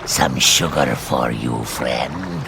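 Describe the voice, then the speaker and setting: A weary, wheedling cat-folk creature of a fantasy game, drawls very slowly. The voice is rock-bottom deep and gravelly. Watery ambience is loud behind it. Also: male voice, background noise, full character voice. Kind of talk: fantasy video game character dialogue.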